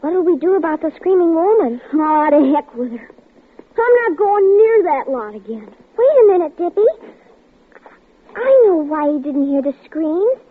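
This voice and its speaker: A woman's voice: high voice, expressive, unhurried. An actress playing a stubborn, anxious young girl in an old radio drama, stammering and pleading as she narrates. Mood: worried. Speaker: child lead and narrator. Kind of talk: 1940s radio acting. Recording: tinny, hissy old radio broadcast.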